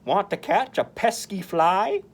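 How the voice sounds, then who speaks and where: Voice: mid-range voice, expressive, measured pace, voice pushed up. A storyteller voicing friendly storybook farm animals with exaggerated calls and eager, playful questions. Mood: loud, curious.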